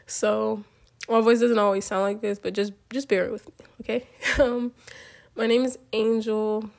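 A nineteen-year-old woman hosting her own podcast, her voice a bit hoarse from a cold, chatting loosely with lots of ums and you-knows and small laughs. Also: on the high side, level tone, measured pace. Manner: rambling, many ums and you-knows, giggly. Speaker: podcast host. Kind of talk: casual solo podcast talk.

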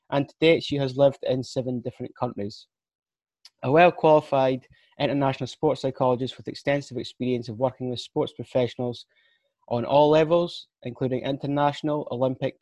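A man's voice: mid-range voice, a little mellow, dry recording. A man hosting a podcast, introducing his guest and asking questions with some ums and slips. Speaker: podcast host. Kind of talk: reading a guest introduction, then interviewing.

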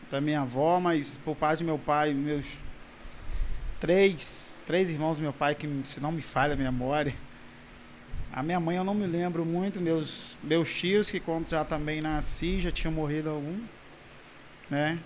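A Brazilian man in his forties, a pastor, talking casually in an interview about his family and childhood, halting with restarts. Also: on the high side, slight background noise. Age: 40s. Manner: halting, with frequent restarts and soft laughs.

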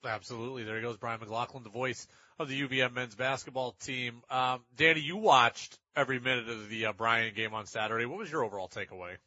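Guy doing sports talk on radio, raspy bright voice, really leans on his points, kinda lo-fi audio. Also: mid-range voice, quick pace, no room sound. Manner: emphatic, repeats phrases for stress. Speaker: sports radio show host.

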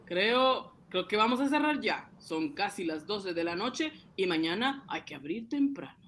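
Radio comedy: blustering immigrant upstart banker, forty, high-pitched and animated, trips over his words. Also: a little slow, noisy background. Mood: proud. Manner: blustering, stammering when flustered. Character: excitable Latin American immigrant man, forty, eager upstart banker. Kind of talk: comic radio drama acting.

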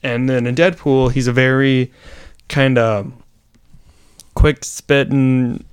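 A man's voice: medium pitch, little pitch movement, takes it slowly, clear, resonant tone, no echo, clean studio sound. A young man co-hosting a podcast, rambling enthusiastically in a casual chat with lots of "like" and "you know". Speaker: podcast co-host.

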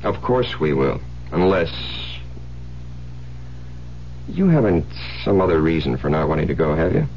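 An American actor playing a suspicious, domineering psychiatrist husband, insistent and pressing, in 1970s radio drama style. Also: male voice, a bass voice, expressive, a little fast, vocal fry, heavily acted. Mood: controlling, menacing. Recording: muffled, hissy old radio broadcast with hum.

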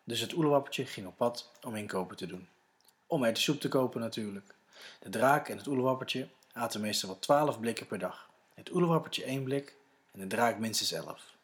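A man's voice: mid-range voice, animated, fairly quick. A man with a Netherlands Dutch accent, narrating a scripted story for children.

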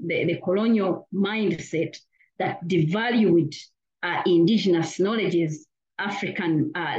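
Academic guest, woman from Uganda, mid pitch, earnest and full of fillers, muffled lo-fi sound. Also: darkish tone. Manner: earnest, with frequent "you know" fillers and restarts. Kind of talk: interview answer. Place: lives in Australia.

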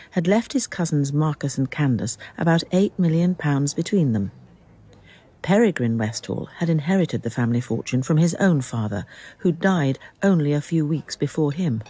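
Mellow, husky woman narrating. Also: deep voice. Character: measured third-person storyteller. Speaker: narrator of a radio drama. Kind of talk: scripted literary narration.

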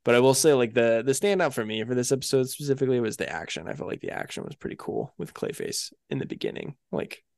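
A man's voice: medium pitch, sing-song, fast, gravelly, hardly any pauses, a little mellow, dry recording. A man co-hosting a podcast, talking casually and conversationally as he reviews episodes, with many filler phrases. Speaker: podcast co-host.

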